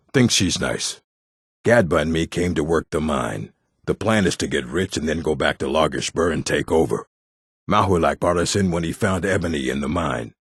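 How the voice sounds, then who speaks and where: Very deep, gravelly orc man. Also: no echo, clean studio sound, full character voice. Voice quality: gravelly, husky. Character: gruff adult male orc of a fantasy stronghold. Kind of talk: fantasy video game character dialogue.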